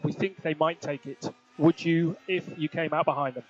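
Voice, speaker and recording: A man's voice: on the high side, steady tone, moderate pace. Clipped male race engineer, dull radio sound. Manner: clipped, matter-of-fact. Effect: team radio transmission. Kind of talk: terse radio instructions to a racing driver. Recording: muffled team radio channel.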